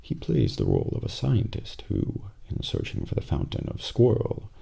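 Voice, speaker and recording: A man playing a calm, deadpan professional assassin in a soft-spoken ASMR role play, often near a whisper and very deliberate. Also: on the low side, gravelly, breathy edge, full character voice. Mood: dry, reading aloud. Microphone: close binaural microphone. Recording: faint background noise, close binaural mic.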